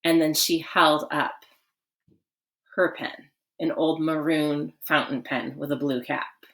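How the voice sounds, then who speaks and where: A woman reading a children's story aloud expressively, with dramatic pauses and emphasis. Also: low-pitched, fairly even intonation, a bit bright.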